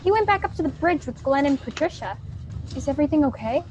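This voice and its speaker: A woman's voice: sits high, lively intonation, fast, a little mellow, dull audio, a little hiss or hum. A woman playing a flippant, wisecracking young colonist in a student sci-fi radio play. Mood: concerned. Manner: flippant, joking.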